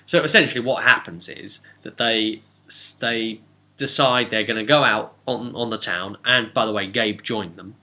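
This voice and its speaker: British male game-master host, lower-pitched yet sharp and bright, wry, chatty, rambling recap delivery. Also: moderate pace. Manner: chatty, wry, self-interrupting. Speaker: tabletop role-play game master and host. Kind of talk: casual rambling recap of a role-play session.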